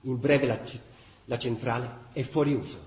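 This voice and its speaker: A man's voice: mid-range voice, steady tone, moderate pace, close to an everyday voice. Actor as a sober male war chronicler, echoey. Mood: grave, factual. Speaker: radio drama actor narrator. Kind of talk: scripted dramatic chronicle narration. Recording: very roomy echo.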